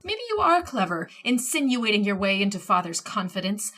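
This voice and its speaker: A woman plays a cold, manipulative sister in a radio melodrama. Her voice is husky and mid-pitched, and she speaks with sarcastic, insinuating bitterness. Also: very animated, moderate pace, a bit bright. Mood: sneering contempt. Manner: mocking, insinuating. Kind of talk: old-time radio horror drama acting.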